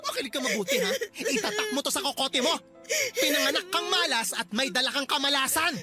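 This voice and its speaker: An actor playing a cruel, hot-tempered male landlord who scolds and shouts insults in a radio drama. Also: high-pitched, expressive, brisk. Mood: angry, bullying. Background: music.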